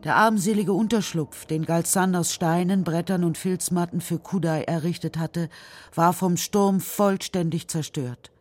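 A narrator reading a children's radio drama story in a measured, serious, literary style. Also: medium pitch.

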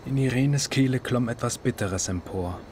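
An actor narrating a literary radio drama in German in a measured, sober way. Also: male voice, medium pitch, a little mellow, a little hiss or hum, natural voice. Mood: grave.

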